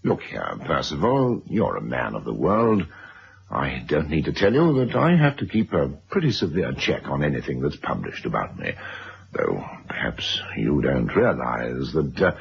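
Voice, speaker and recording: Blustery hesitant tycoon in an old radio drama, gravelly low voice, noisy dull recording. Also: male voice, big pitch swings, normal speed, full character voice. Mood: pleading bluster. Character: blustering, evasive wealthy press magnate, cornered by his past. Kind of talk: 1970s radio drama acting.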